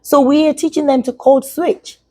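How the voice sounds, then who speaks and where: A woman's voice: high-pitched, fairly even intonation, moderate pace, dark tone, dry recording. A middle-aged woman from Nigeria whose first language is Yoruba, speaking as an educator guest in an interview and giving earnest advice to parents. She repeats her points for emphasis.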